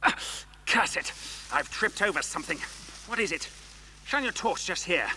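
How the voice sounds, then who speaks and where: Shrill, panicky villain. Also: male voice, expressive, moderate pace, sharp tone, slight background noise, full character voice, poor audio. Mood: irritated. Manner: cursing, frantic. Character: panicky male villain in children's adventure.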